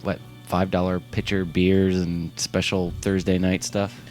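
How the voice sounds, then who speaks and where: A man's voice: deep voice, flat delivery, a little slow, a little mellow, soft background music. A man from Ohio hosting a casual interview, easygoing and stumbling a little as he reads out listener questions.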